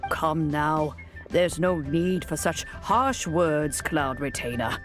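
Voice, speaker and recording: An actress playing a calm, gracious, conciliatory character in a fantasy game, talking gently and formally. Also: female voice, low-pitched, slow. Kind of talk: scripted fantasy game dialogue. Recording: music under the voice.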